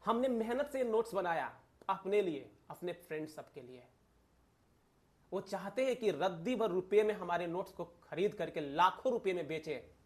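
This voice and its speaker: A man's voice: sits high, animated, moderate pace. A man giving an informal exam-analysis lecture to viewers, emphatic, repeating key phrases and asking rhetorical questions. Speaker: exam coaching teacher and channel host.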